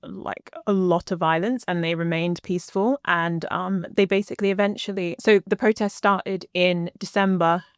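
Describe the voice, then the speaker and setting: A young woman, a guest, telling a long story in a casual interview, animated and full of "like" and "um". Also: lowish voice, moderate pace, hardly any pauses, smooth, no room sound, studio quality. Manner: animated storyteller, many fillers like "like" and "um".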